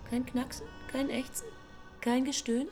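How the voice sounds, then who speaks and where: An actress playing a persistent, nagging angel in a radio drama, hushed and probing. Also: female voice, high voice, flat delivery, measured pace, music in the background. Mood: quietly needling. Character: persistent, nagging guardian angel, a quiet watcher.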